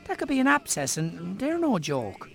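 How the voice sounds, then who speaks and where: A man's voice: high-pitched, huge pitch swings, normal speed, gravelly, a bit bright, slight background noise, exaggerated voice. A man playing a caring, teasing Irish friend in a radio drama, chatty and bantering. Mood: teasing, concerned. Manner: bantering, concerned. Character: caring, teasing male old friend, married. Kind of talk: naturalistic radio drama acting.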